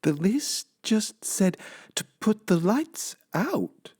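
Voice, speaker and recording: Man voicing an eager, innocent housemaid, slow and lilting. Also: sits high, big pitch swings, a little mellow, husky, pitched above the performer's own voice, exaggerated voice. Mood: innocent concern. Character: cheerful, literal-minded, eager-to-please housemaid woman.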